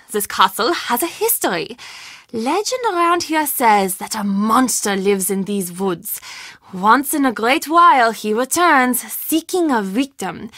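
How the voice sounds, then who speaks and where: An actress playing a formal, careful castle guest-services manager in a video game. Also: female voice, fairly high pitch, sharp tone, no room sound, clean studio sound, full character voice. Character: polite, anxious female German castle guest-services manager.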